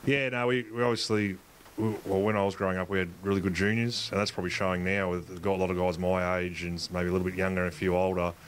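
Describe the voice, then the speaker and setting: A man, a football coach answering interview questions in a halting way, with many fillers and restarts. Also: low-pitched, little pitch movement, a little hiss or hum. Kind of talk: post-match interview.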